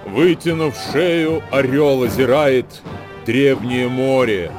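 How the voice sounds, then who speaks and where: A man performing a solemn, measured dramatic recitation of old proverbs as a radio actor. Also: medium pitch. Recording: music behind the voice. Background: music.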